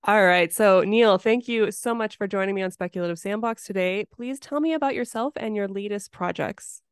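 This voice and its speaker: A woman's voice: medium pitch, moderate pace, clean tone. A woman hosting an interview podcast, asking questions and introducing the topic. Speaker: podcast host.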